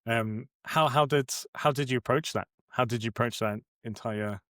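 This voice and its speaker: A man's voice: mid-range voice, moderate pace, fairly bright tone, no echo, clean studio sound. A young man hosting a podcast interview, introducing his guest and asking questions with frequent restarts. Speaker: podcast host.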